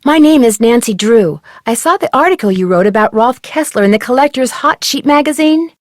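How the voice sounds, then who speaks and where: A woman acting as a young female amateur sleuth in a video game. Her voice is fairly high and sounds dry and close. She is polite, earnest and inquisitive. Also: animated, fairly quick, dry recording, natural voice.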